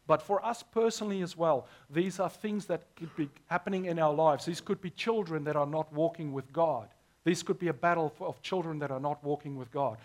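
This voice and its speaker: A man, hoarse this morning, preaching a sermon as pastor with earnest, emphatic delivery. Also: on the high side.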